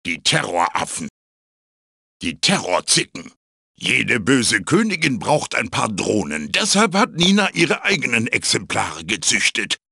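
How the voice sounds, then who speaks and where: A male actor voicing a wise, quirky wooden mask spirit in a video game, raspy, theatrical and wry as he guides the listener. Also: on the low side, sing-song, unhurried, sharp tone, no room sound, clean studio sound, full character voice. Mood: theatrical, ominous.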